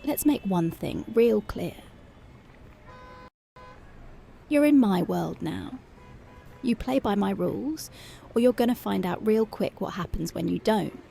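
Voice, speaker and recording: A woman playing a strict, dominant female police officer in an ASMR roleplay, slow, taunting and intimate, often slipping into whispers. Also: mid-range voice, lively intonation, gravelly, warm tone, soft background music. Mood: commanding.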